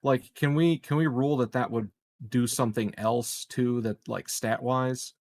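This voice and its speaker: A man's voice: medium pitch, fairly even intonation, unhurried, darkish tone. A man playing a tabletop game, rambling in casual chat with lots of "like" and "you know" and some swearing. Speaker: player.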